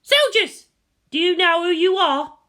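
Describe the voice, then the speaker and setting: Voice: very high-pitched, expressive, a little slow, sharp tone, voice pushed up, heavily acted. A narrator voicing a fierce teenage girl soldier, giving an impassioned, commanding speech as part of an audiobook story. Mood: fierce, rousing. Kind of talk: shouted battlefield speech in audiobook reading.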